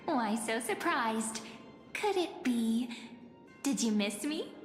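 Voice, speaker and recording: A gentle, teasing young game heroine with a fairly high voice. She speaks slowly and earnestly, like an encouraging friend. Long reverb surrounds her, with music behind. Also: lively intonation. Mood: playful, teasing. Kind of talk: video game voice acting.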